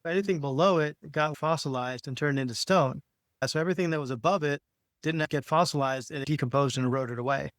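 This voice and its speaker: A man's voice: fairly high pitch, a bit bright, no echo. Man casually explaining, thinking aloud. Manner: informal, halting, thinking aloud while explaining. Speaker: podcast co-host.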